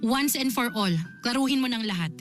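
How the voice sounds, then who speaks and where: A woman's voice: on the high side, brisk, natural voice. A woman acting as an exasperated older sister in a Filipino radio drama, emotional and scolding as she confronts a wayward sibling. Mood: pressing, stern. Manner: emotional, scolding, insistent questioning. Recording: dramatic music bed behind.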